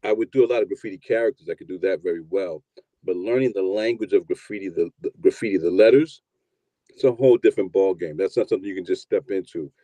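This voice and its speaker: A man in his fifties from New York telling his story in a relaxed, rambling interview, often repeating words as he starts. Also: deep voice, fast talker, no echo, lo-fi sound. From New York, USA. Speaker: guest, producer and DJ.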